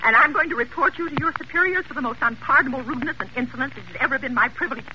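A woman's voice: high-pitched, rapid-fire, bright, forceful tone. An actress playing a nervous, demanding bedridden invalid woman in a 1940s radio thriller, indignant and melodramatic. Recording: noisy, muffled old radio broadcast recording.

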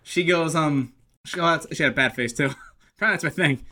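A man co-hosting a podcast in casual, crude banter, telling stories in a profane, excitable way. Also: fairly high pitch, fast. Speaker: podcast co-host.

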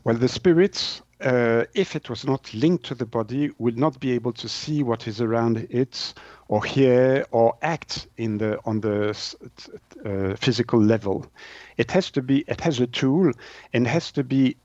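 A man with a French-speaking Swiss accent, native in French, gives explanatory answers as a guest. He searches for words and often restarts in a halting way. The sound is dry and slightly dull, like lo-fi audio. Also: mid-range voice, darkish tone, dry recording. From Switzerland. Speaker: guest, naturopath and author.

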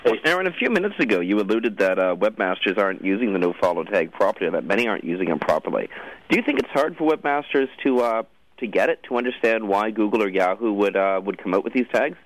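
Man, interview co-host, lowish pitch, rapid pace, conversational questioning. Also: expressive, rough recording.

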